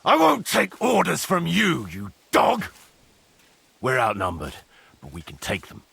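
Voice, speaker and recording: A man playing a blunt, mocking, foul-mouthed medieval mercenary commander in a video game, with a husky, forceful voice over music. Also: mid-range voice, big pitch swings, a little slow, no echo, exaggerated voice. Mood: fierce, triumphant. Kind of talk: video game cutscene acting.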